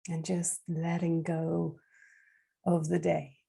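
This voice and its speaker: Slow, husky woman guiding a meditation. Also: low voice, completely flat delivery. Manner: soft, soothing, unhurried with long pauses. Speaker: meditation guide. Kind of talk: guided meditation.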